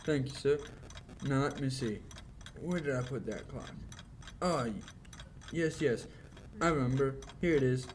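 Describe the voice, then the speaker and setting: A courteous, fussy middle-aged shopkeeper acted by a young man in an old-time radio play. His medium-pitched voice is hesitant and deferential, with clocks ticking and faint noise behind. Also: a little slow, natural voice. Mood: polite, absentminded. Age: young adult. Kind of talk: 1940s radio acting.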